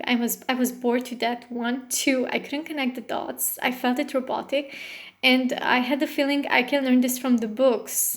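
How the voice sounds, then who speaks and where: Flat-toned woman from Romania, rambling. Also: high-pitched. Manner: rambling, with frequent fillers and self-corrections; non-native English phrasing. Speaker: astrologer. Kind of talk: casual personal monologue.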